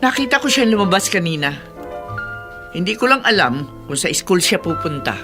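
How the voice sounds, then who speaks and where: A woman's voice: lowish voice, sing-song, moderate pace, music in the background. A woman playing a stern, judgmental figure in a Tagalog radio drama, blunt and moralising. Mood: flat, doubtful.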